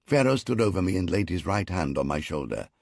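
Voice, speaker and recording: A male audiobook narrator with a low, gravelly, slightly husky voice, reading in the first person. His intonation rises and falls in a lively way, carrying a dry, wry tone through the story. The recording is close and dry, with no room sound. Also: fairly quick, no echo.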